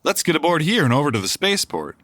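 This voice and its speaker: A man's voice: a little high, big pitch swings, quick pace, background noise. A man voicing an earnest, heroic space adventurer in an old-time radio drama. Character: square-jawed male space hero, earnest. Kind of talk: 1930s radio drama acting.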